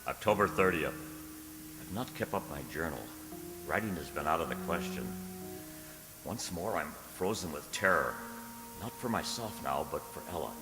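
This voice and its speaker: A man playing an earnest, anxious, lovestruck gentleman in a radio drama, with a stammering, dramatic delivery. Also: sits low, lively intonation, moderate pace, pauses often, echoey room, big-room sound, music in the background. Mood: anguished. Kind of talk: radio drama acting, journal narration and dialogue.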